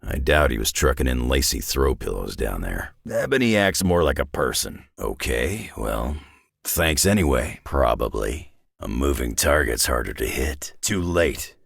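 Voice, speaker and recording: A battle-worn older brawler for a video game, with an extremely deep, raspy voice. He is sardonic and terse but loyal, talking like a folksy tough guy. His delivery swings widely in pitch, from low rumble to bark. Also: big pitch swings, measured pace, a bit bright, no echo, clean studio sound, heavily acted. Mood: wry, low. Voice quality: deep gravelly rasp. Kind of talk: video game character voice lines.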